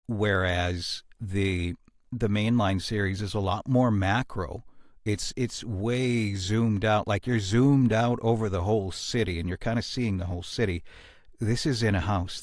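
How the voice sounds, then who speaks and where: Low-voiced man, earnest, in a dry recording. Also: no echo. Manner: earnest, reflective, halting with frequent pauses. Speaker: podcast host and series creator. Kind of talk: casual behind-the-scenes discussion.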